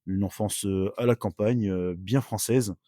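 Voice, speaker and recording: A man's voice: on the low side, steady tone, unhurried, warm tone, no echo, clean studio sound. A middle-aged man from northern France, a podcast guest telling his life story casually, with many fillers and restarts. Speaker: interview guest.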